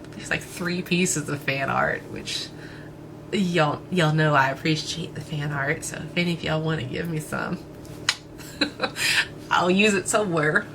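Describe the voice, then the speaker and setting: A woman with a thick East Texas accent, casually answering fan questions for her channel, relaxed and hesitant with lots of fillers. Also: lowish voice, slight background noise. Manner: relaxed, hesitant, many fillers and small laughs. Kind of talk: casual Q&A chat.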